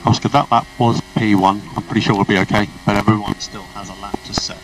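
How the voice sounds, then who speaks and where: A man's voice: on the low side, little pitch movement, fast. A man working as a race engineer, giving clipped, procedural instructions over a motorsport team radio. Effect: team radio transmission. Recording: noisy distant radio, car and engine noise.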